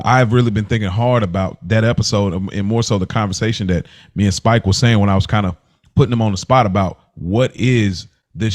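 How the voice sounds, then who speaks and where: A man co-hosting a podcast in casual conversation, reflective and emphatic, checking that listeners follow him. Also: on the low side. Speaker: podcast co-host.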